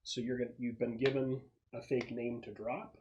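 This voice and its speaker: Man, medium pitch, game master, casual tabletop narration, explanatory, halting delivery. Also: flat delivery, a little mellow, highs cut off, slight background noise.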